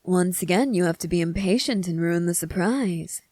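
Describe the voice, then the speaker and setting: Woman as a low-voiced, smug young prince. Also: a little mellow, no echo, studio quality, lightly acted. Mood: smug, teasing. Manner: measured, smug, formal, teasing delivery. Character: cunning, self-assured young male prince bargaining with a dragon. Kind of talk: ASMR roleplay acting.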